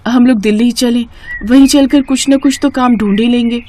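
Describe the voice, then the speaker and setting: Female narrator as anxious poor mother, village story, pleading, plaintive, higher pitch. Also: fairly even intonation, a little fast, noisy background, natural voice.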